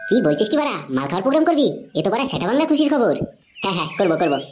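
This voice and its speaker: A woman voicing a crude, excitable young male slacker in a comedy cartoon, with slangy banter. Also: medium pitch, racing, over music, lightly acted. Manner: foul-mouthed, slangy banter.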